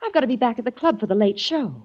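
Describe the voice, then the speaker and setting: An actress playing a frightened young nightclub singer in an old radio drama. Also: female voice, on the high side, expressive, quick pace, a little mellow, breathy edge. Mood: anxious. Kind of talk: 1940s radio acting. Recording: dull old radio recording.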